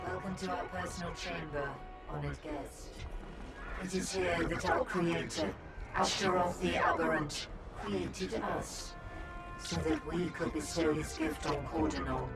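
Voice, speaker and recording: An actor voicing an inhuman hive-mind creature in a video game, coldly polite and formal, speaking as a collective, with a gravelly, echo-processed voice. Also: mid-range voice, fairly even intonation, measured pace. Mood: ceremonious. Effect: echoing monster voice processing. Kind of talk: video game villain acting. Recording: echoing, distant, under loud music.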